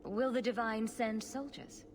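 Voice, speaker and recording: A woman voices the heroine of a fantasy game, wry and inquisitive. Her voice is fairly high and her delivery direct. There is faint noise in the background. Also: fairly even intonation, unhurried, close to an everyday voice. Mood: direct, curious. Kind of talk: fantasy video game acting.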